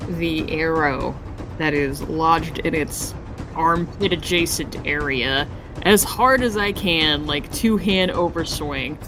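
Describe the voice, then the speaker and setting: A man's voice: fairly high pitch, slow, over music. A man talking casually as a player in a tabletop game.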